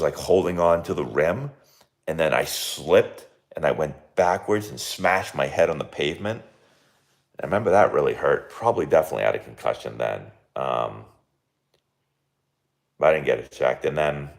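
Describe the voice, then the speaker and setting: Deep, gravelly guy with a fading hoarse voice, casually rambling through live game commentary. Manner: rambling, filler-heavy with many likes and restarts. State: hoarse, losing his voice. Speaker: baseball coach streaming live.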